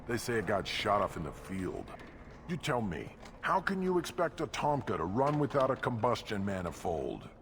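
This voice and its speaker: Very deep, grumpy alien mechanic. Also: male voice, huge pitch swings, normal speed, fairly bright tone, full character voice. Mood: grumbling. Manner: sarcastic, surly. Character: grumpy male krogan mechanic, gruff hulking alien. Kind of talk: video game voice acting. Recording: clear noise, vehicle hum behind.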